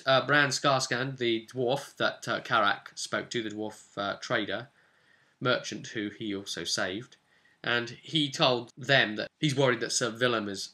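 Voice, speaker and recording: Bright-voiced man recapping a tabletop game. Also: medium pitch. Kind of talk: casual recap of a role-playing session.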